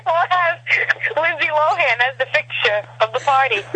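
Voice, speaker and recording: A woman in her thirties chatting with a friend on a show, teasing and quick to laugh. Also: sits high, animated, background noise. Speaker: co-host friend. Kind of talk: casual chat.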